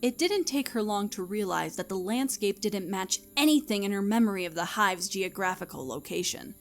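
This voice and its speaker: A woman reading scripted story narration as the narrator, in an expressive storytelling manner. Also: mid-range voice, moderate pace, faint music behind.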